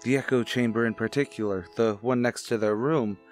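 An actor playing a stern, brooding widower in an old-time radio drama, speaking formally and deliberately. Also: male voice, medium pitch, fairly even intonation, soft background music, natural voice. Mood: grave, sorrowful. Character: stern, brooding widowed father with a morbid past.

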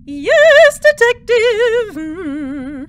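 A woman's voice: very high-pitched, very animated, drawn-out words, full character voice. A woman playing an eager, naive, hesitant young singer in an audio drama.

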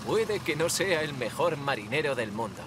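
A man's voice: on the high side, big pitch swings, moderate pace, noisy background. An actor playing a plain-spoken, good-natured fisherman in a cartoon dub. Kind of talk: animated cartoon dub acting.

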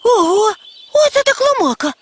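Woman doing cheeky excitable little boy, cartoon, really high swoopy voice. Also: moderate pace, very noisy. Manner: exclamatory, cheeky. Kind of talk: animated cartoon acting. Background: loud music.

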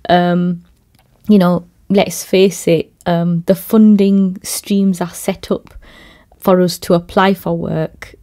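A woman who works in theatre and youth work, speaking in a measured, thoughtful way in a podcast conversation. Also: fairly low pitch, little pitch movement, mellow. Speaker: theatre maker and youth worker.

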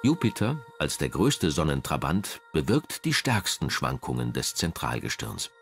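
Low-voiced male documentary narrator. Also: wildly swooping pitch, normal speed. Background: background music.

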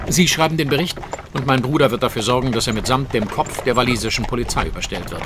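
An actor playing a witty, self-assured detective in an audio drama, speaking precisely and with dry irony. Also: male voice, medium pitch, quick pace, noisy background, lightly acted. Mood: analytical. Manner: precise, dryly ironic. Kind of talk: German audio drama acting.

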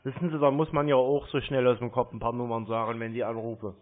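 A man's voice: mid-range voice, flat delivery, quick pace. Tinny phone voice of a rambling German man. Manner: playing dim, rambling, crude. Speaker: prank caller baiting phone scammers. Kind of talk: improvised prank phone call. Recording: narrow, tinny phone line.

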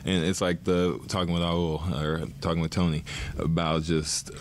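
A young man in his twenties calmly answering reporters after a game at a desk mic. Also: low-pitched, moderate pace, a little hiss or hum. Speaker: basketball player at press conference. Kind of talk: post-game press answers. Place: arena press room.

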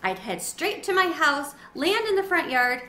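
A woman's voice: sits high, very animated, moderate pace, sharp tone, voice pushed up, full character voice. A woman voicing an earnest, imaginative young schoolboy in a children's storybook, plain-spoken. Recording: roomy echo, faint background noise.

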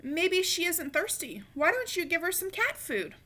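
Woman reading as a high-voiced, practical storybook grandma. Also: very animated, normal speed, sharp tone, exaggerated voice. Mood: helpful. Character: practical grandmother pizza cook, fond and mock-stern.

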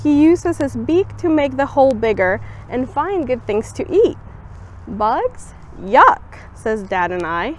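A woman's voice: high voice, slow pace, a little hiss or hum. Young woman reading a picture book aloud. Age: young adult. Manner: expressive storybook reading with lively voiced quotes. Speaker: student volunteer reader. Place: outdoors on a campus bench.

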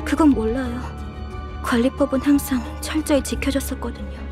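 A woman's voice: sits high, fairly even intonation, moderate pace, music in the background, close to an everyday voice. A woman voicing a guilt-ridden young survivor in a video game. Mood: subdued.

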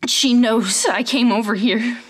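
A woman playing a terrified captive in a horror audio drama, halting and desperately pleading. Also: on the high side, fairly even intonation, fairly bright tone. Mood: desperate defiance.

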